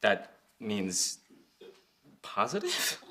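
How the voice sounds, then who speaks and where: A man playing a panicky, childish young husband in a sitcom, excitable and whiny. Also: on the low side, very slow, full character voice. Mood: uneasy. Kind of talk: sitcom acting before a studio audience. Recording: loud studio laughter and noise.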